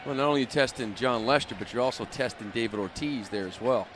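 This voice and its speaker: A man's voice: medium pitch, quick pace, fairly bright tone. Man, American accent, baseball color commentator, conversational breakdown of plays, faint noise behind. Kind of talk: conversational live game analysis. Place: ballpark broadcast booth.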